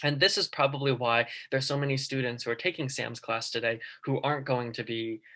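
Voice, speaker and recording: Young male student presenter, earnest storyteller, bright tone, video call. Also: mid-range voice, steady tone, fairly quick. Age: young adult. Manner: earnest, personal storytelling with frequent ums. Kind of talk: class presentation.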